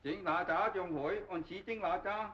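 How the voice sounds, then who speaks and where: An actor playing an eager, obliging Chinese-restaurant waiter who talks in short, broken phrases, in 1930s film style. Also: male voice, high-pitched, normal speed, sharp tone, slight background noise. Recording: dull 1930s film soundtrack with music.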